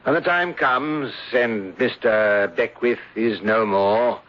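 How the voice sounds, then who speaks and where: An actor playing a scheming, coolly defiant gentleman villain with formal, pompous phrasing in an old radio drama. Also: medium pitch, takes it slowly, natural voice. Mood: coldly defiant. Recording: dull, lo-fi radio drama recording.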